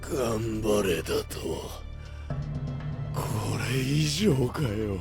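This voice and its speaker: Tormented young anime knight, heavy rasping fry, brusque speech, slow delivery, audio drama. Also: male voice, medium pitch, expressive, over music, exaggerated voice. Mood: frustrated outburst. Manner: rough, brusque, emotional speech. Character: anguished, rough-talking young male knight possessed by dark power. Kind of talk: Japanese anime voice drama acting.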